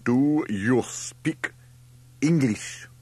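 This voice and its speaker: A man's voice: medium pitch, big pitch swings, very slow, dark tone, breathy edge, voice pushed up, full character voice. A man voicing an angry, officious customs officer with a foreign accent, ominous and emphatic, drawing out his words.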